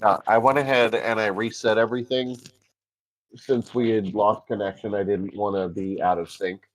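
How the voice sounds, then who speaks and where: Stuffy-nosed guy co-hosting a tech podcast, lowish voice, lots of uhs, on a call. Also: fairly even intonation, low-quality recording. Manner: halting, many filler sounds. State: congested from a cold. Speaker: podcast co-host. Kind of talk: casual tech chat.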